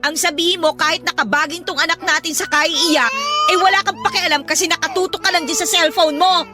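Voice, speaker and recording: A shrill, worn-out young mother. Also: steady tone, brisk. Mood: scolding. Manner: shrill, scolding, tearful. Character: exhausted young mother, sharp-tongued and resentful. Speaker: voice actress. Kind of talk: Tagalog radio drama acting. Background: music.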